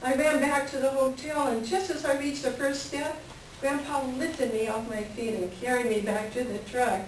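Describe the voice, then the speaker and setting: A woman's voice: high voice, fairly even intonation, normal speed, lightly acted. A woman playing a wistful, melancholy married woman in a staged play reading, with a reflective storytelling manner. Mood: wistful reminiscence. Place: library reading before a microphone at some distance. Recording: very echoey room, distant mic, faint noise.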